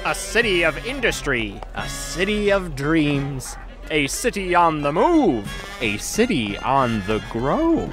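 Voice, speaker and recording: A man playing a chummy dungeon master who levels up his players with cheer. He talks slowly, and his pitch bounces lively. Music plays clearly behind him. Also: heavily acted. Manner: chummy, announcing.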